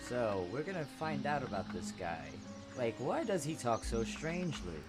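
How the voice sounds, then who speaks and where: A man's voice: medium pitch, sing-song, normal speed. A man casually commenting on a game he plays, rambling with hesitant fillers and laughter, game music behind. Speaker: gameplay commentator. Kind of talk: casual let's play commentary.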